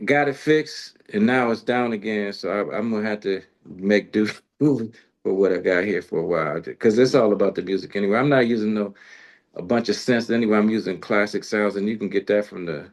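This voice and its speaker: Male music host, lower register, loose rambling delivery, colloquial and slangy. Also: fairly quick. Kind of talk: casual interview chat.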